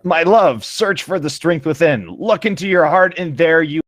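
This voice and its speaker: A man's voice: on the high side, lively intonation, moderate pace, a bit bright, no echo, clean studio sound, voice pushed up. A man voicing a tender, earnest male hero in a melodramatic fantasy story. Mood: earnest urging. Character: earnest, tender male hero urging his love onward.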